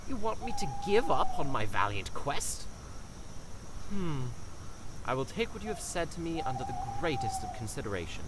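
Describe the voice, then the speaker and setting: Here is a young fairytale prince of an audio drama , played by a man, pompous and hopelessly besotted. His voice sits a little high and rolls out in grandiose, flowery, melodramatic declamation. Clear background noise surrounds this homemade recording. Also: wildly swooping pitch, moderate pace. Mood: solemn, courtly. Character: lovestruck, pompous, dim young prince, theatrically woeful. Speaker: voice actor.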